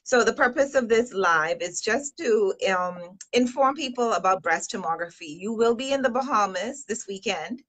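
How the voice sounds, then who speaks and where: A woman's voice: mid-range voice, expressive, moderate pace, few pauses, a bit bright, poor audio. A woman hosting a live stream over a video call, guiding the conversation with questions. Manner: conversational, guides the talk with questions.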